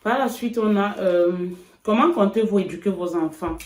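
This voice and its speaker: A woman from Cameroon, chatting reflectively in a casual couple vlog, with frequent pauses. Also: mid-range voice, a little mellow, reverberant. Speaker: vlogger.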